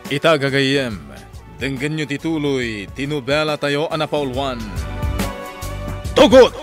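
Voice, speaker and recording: A man's voice: medium pitch, measured pace, lightly acted. A man announcing a radio drama serial in a dramatic, projected, declamatory style. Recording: music bed under the voice.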